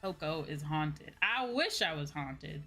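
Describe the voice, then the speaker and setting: An American woman chatting casually to viewers as a livestream host, animated and repeating phrases for emphasis. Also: sits low, unhurried, faint music behind. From United States.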